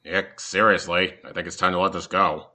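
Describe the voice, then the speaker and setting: A man voicing a gruff, skeptical male fox sidekick in an animated courtroom story, blunt and deadpan. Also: low voice, fairly quick, very noisy, voice pushed down, lightly acted. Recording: prominent music behind the voice.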